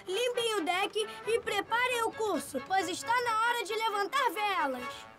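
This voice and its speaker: A woman's voice: very high voice, animated, unhurried. A woman voicing a cheerful, curious, polite little boy adventurer in a children's cartoon. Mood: commanding. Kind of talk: cartoon dub acting. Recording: music behind the voice.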